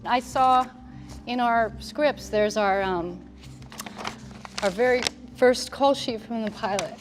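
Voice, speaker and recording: A woman's voice: on the high side, slow. A woman giving an informal speech to her colleagues. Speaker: speaker addressing the cast and crew. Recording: loud music under the voice.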